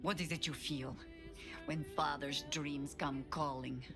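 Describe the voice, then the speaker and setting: A solemn female warrior in a fantasy game. Her voice is very low, grave and probing, set over clear music. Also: steady tone, unhurried. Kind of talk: video game acting.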